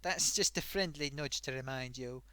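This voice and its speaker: A man's voice: medium pitch, moderate pace, sharp tone, clean tone, no room sound, natural voice. A man voicing a chatty sock puppet host who rambles off topic and talks to viewers, his throat getting sore. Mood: rambling. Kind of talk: rambling comedic live-stream monologue.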